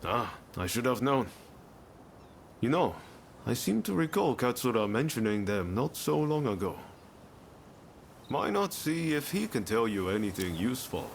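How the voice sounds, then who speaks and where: An actor playing an easygoing, friendly samurai rebel in a video game, talking in a casual, conversational way. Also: male voice, medium pitch, very animated, unhurried, creaky, slight background noise. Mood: reflective, reassuring. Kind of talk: video game cutscene acting.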